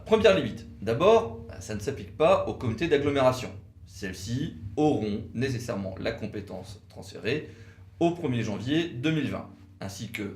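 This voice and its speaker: Man, legal co-presenter, methodical and instructive, varied intonation, reverberant room. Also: medium pitch. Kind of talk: explanatory legal video talk, semi-scripted. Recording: roomy, echoey room sound.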